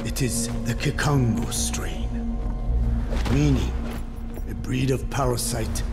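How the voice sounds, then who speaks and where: A man voicing a grave, wizened old scientist in a video game, speaking in solemn, halting phrases with a breathy, gravelly voice. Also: mid-range voice. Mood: solemn, clinical. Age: elderly. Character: grave, wizened old scientist explaining deadly secret weapons. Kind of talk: dramatic video game cutscene acting.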